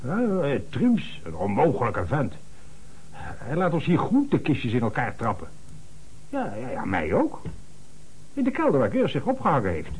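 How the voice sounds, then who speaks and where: An actor playing an agitated, self-important small-town notary on the telephone in a Dutch radio play, flustered and stammering. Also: male voice, mid-range voice, huge pitch swings, normal speed, darkish tone, slight background noise, low-quality recording. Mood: indignant.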